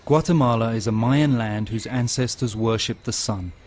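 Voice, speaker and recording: Steady male documentary narrator. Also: medium pitch, moderate pace. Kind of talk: scripted narration.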